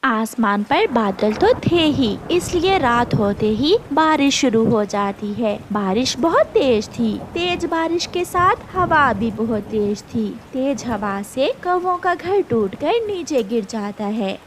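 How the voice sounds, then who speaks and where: A woman's voice: on the high side, animated, slow pace, few pauses, a little hiss or hum. A woman reading scripted children's story narration as the storyteller.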